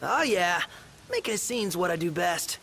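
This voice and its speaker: An actor playing a brash, eager young fighter in a video game, boastful and energetic. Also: male voice, high-pitched, normal speed, gravelly, slight background noise, full character voice. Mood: fired up. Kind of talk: video game battle voice acting. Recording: loud music and combat effects over voice.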